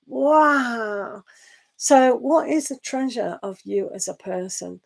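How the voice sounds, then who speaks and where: A woman giving a live online tarot reading and losing her voice. She talks in a meandering, gentle way and reads the card texts aloud. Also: fairly high pitch, expressive, takes it slowly, vocal fry. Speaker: tarot reader.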